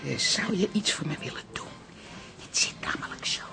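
A woman's voice: moderate pace, in a whisper, lightly acted. A woman acting in a radio drama as a frantic, pushy, theatrical aunt who repeats herself. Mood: coaxing.

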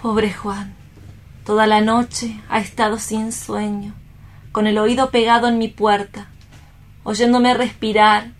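An actress playing an anguished, remorseful young woman in a radio theatre reading, earnest and melodramatic, with deliberate phrasing. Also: medium pitch, level tone, natural voice. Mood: sorrowful.

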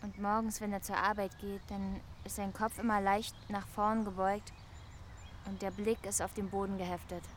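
A woman's voice: medium pitch, flat delivery, moderate pace, slight background noise, lightly acted. A woman playing a lonely young woman in love, confiding hesitantly and musingly in a German radio play. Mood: wistful musing. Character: lonely, wistful young woman quietly in love with her neighbour.

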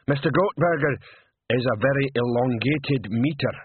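A man voicing a huge, slow-witted troll doorman who is proud, easily cowed and halting over big words, in a storybook reading. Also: mid-range voice, breathy edge, no room sound, phone-quality sound.

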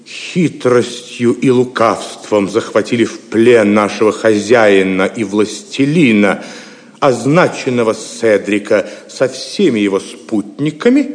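Scornful medieval knight, flamboyant and sneering, slow swooping lines, long hall reverb, audio drama. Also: male voice, medium pitch. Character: arrogant mocking Templar knight, flamboyant and scornful.

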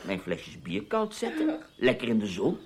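An actor playing a sarcastic, needling husband with a husky voice in a radio play. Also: male voice, lowish voice, huge pitch swings, normal speed, a crowd in the background. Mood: sarcastic accusation. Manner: sardonic, mocking pet names. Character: sarcastic husband, needling, incredulous, coolly accusing his wife. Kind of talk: Dutch radio play dialogue.

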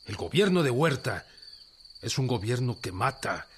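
An actor playing a stern, defiant revolutionary general in a radio drama, with a Mexican accent and a firm, declamatory delivery. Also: male voice, mid-range voice, very animated, unhurried, slight background noise. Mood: indignant. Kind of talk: Spanish-language radio drama acting.

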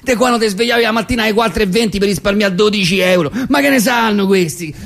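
A man performing a comic stage monologue as a nostalgic, indignant old grandfather with a Roman accent, ranting in repetitions and halting pauses. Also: high voice, creaky, a bit bright, voice pushed up, heavily acted. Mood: exasperated. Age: older. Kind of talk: theatrical comic monologue reading.

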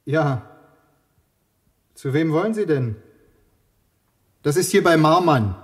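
An actor playing a suspicious, grudging young husband in a radio play, brusque and sarcastic. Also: male voice, a little high, lively intonation, takes it slowly. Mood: wary, brusque. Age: young adult. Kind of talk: German radio play acting.

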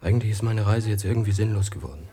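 An actor playing a reserved, subdued American writer abroad in a German radio play, with a husky voice and hesitant, understated delivery. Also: male voice, lowish voice, very fast, dark tone, a little hiss or hum, natural voice. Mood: subdued, lost. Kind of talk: German radio play acting, intimate dialogue.

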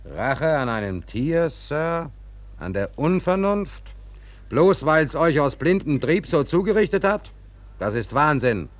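An actor playing a principled, sober ship's mate in a German radio drama, speaking in a measured, firm, reasoning way. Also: male voice, a little high, sing-song. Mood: indignant. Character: sober, principled ship's mate who defies his captain.

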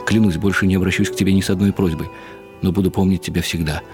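An older man giving a reflective, expressive and unhurried dramatic reading of literary prose for radio. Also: sits low. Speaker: narrator. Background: music.

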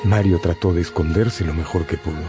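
Low-pitched male dramatic narrator. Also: fairly even intonation, fairly quick. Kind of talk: dramatic scripted radio narration. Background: music.

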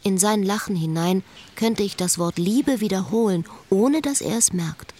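An actress playing a wistful, lovesick woman, brooding and quietly bitter, in an intimate literary radio drama. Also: medium pitch, moderate pace, a little hiss or hum, natural voice. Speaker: lead actress and first-person narrator.

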